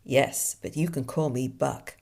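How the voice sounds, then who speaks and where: A woman voicing a wisecracking male deer detective in a film noir drawl, delivering deadpan puns. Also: rumbling low, a little slow, creaky, slightly rough, exaggerated voice. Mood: hardboiled deadpan.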